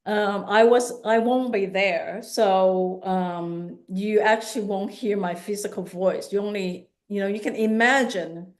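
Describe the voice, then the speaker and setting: A woman's voice: mid-range voice, unhurried, few pauses. A woman in her fifties from Hong Kong answering questions in a conversational artist talk, thinking aloud and correcting herself as she goes. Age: 50s. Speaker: visual artist giving an artist talk.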